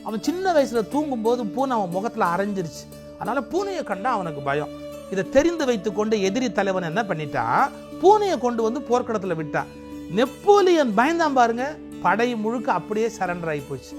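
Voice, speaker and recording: A man giving a conversational lesson on classical verse, reciting with slow emphasis and asking rhetorical questions. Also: sits high, big pitch swings. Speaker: storyteller and explainer of classical verse. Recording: music playing behind the voice.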